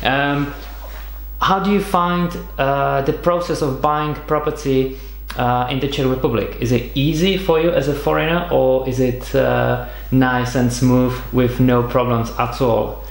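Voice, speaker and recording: A man's voice: mid-range voice, steady tone, a little slow, gravelly, roomy sound. A man hosting an interview podcast, asking questions in a casual way with many fillers. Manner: friendly prompting with many fillers. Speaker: podcast host.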